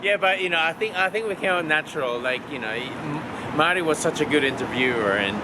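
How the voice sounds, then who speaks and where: Travel vlogger man over loud street noise. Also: a little high, expressive, brisk. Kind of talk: casual travel vlog chat.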